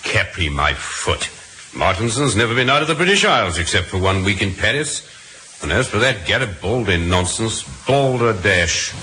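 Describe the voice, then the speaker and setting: An actor in an old radio drama playing a skeptical Victorian gentleman, mocking and dismissive. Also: fairly low pitch, sing-song, normal speed. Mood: scornful. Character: scornful, skeptical Victorian gentleman, dry and needling. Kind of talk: 1940s radio drama acting. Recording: very noisy old radio, long reverb.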